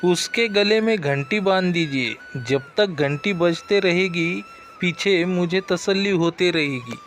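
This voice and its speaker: A man's voice: high voice, slow pace, a bit bright, a little hiss or hum. A man playing a trusting, fretful middle-aged village father in a cartoon story, exclaiming and thinking aloud. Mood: bargaining, firm. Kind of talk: Hindi cartoon moral-story acting. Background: background music.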